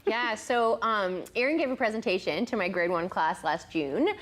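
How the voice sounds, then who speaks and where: A woman's voice: mid-range voice, moderate pace, a bit bright. A woman talking as a guest in a TV studio interview, recounting events in an informal, slightly hesitant way. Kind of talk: conversational storytelling in an interview.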